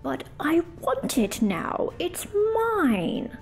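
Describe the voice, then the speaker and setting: A woman's voice: high voice, wildly swooping pitch, drawn-out words, creaky, soft background music, voice pushed up, exaggerated voice. A woman voicing a spoiled, greedy male dragon who whines and sulks over his gold. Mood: petulant. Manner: petulant, whiny.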